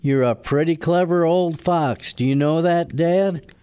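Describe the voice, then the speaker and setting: A man's voice: a little high, measured pace, warm tone, clear, resonant tone, lightly acted. A man acting a feisty, stubborn lawyer son in a radio drama, with an argumentative, sardonic way of talking. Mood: wry.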